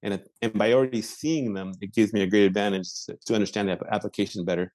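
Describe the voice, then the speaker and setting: A man in his forties, a patent examiner, answering interview questions in a measured way with frequent fillers and restarts. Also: lowish voice, steady tone, a little mellow, dry recording.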